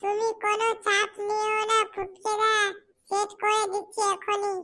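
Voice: very high-pitched, completely flat delivery, unhurried, sharp tone, distant mic, exaggerated voice. A performer voicing an eager, obedient small helper in a comic cartoon.

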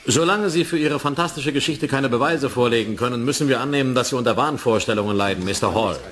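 An actor playing a smug, sardonic military commander in a German radio play, speaking with mocking condescension. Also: male voice, medium pitch, fast talker, fairly bright tone, lightly acted. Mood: stern, sardonic.

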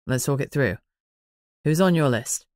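A woman voicing a calm, teasing man in an audiobook, measured and matter-of-fact. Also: extremely low pitch, no echo, studio quality, voice pushed down. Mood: measured, thoughtful. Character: calm teasing man, werewolf packmate and investigator.

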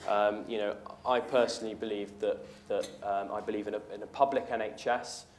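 Young man, low-ish voice, earnest, hesitant restarts, lecture hall, faint background noise. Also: fairly even intonation, brisk. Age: young adult. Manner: earnest, many ums and restarts. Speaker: political candidate at a hustings. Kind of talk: answering audience questions. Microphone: room mic at a lectern, far camera.

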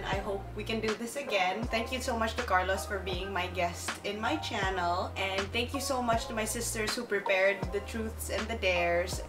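A young woman hosting a casual vlog game who giggles often and reads questions aloud, filmed in a small pink room by a distant camera. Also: medium pitch. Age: young adult. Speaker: vlogger host. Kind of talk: casual chat. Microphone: far camera. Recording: roomy and distant with music. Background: background music.

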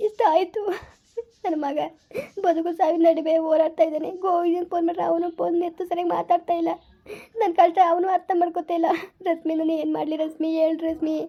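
A woman's voice: very high voice, little pitch movement, fast talker, highs cut off. A woman voicing a desperate young mother who cries and pleads over her sick son. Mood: sobbing. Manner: tearful, pleading, sobbing. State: crying.

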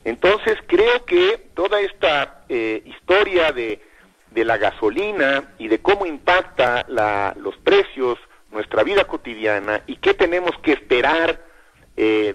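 An older man giving thoughtful commentary in a radio interview, pausing often and repeating words as he thinks. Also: medium pitch, fairly bright tone, poor audio.

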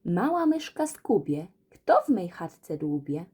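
Lady doing a sly old fairy tale witch, croaky n coaxing, pitch goes up and down a lot. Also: very animated, slow pace, warm tone, exaggerated voice. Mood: sing-song, suspicious. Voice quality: hoarse, croaking. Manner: coaxing, scheming. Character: sly old witch feigning sweetness, scheming to eat children. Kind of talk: children's fairy tale audiobook.

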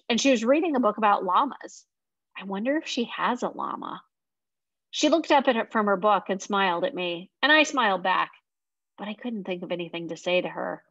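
A woman's voice: mid-range voice, gravelly, dry recording. A young adult woman reading a children's novel aloud, expressively, into a webcam at home. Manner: expressive reading in a young girl's first-person voice. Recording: dry, slightly muffled lo-fi sound.

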